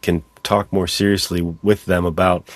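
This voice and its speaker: A man's voice: deep voice, level tone, normal speed. A man hosting a podcast, chatting casually and with enthusiasm about books. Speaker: podcast host. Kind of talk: casual podcast interview chat.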